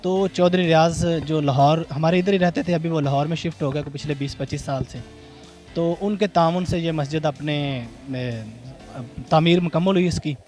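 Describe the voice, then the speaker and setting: A man's voice: on the high side, moderate pace, darkish tone, faint music behind. A man with a Pakistani Punjabi accent giving explanatory interview answers, going step by step and repeating phrases. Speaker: mosque organiser being interviewed. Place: on location at a mosque.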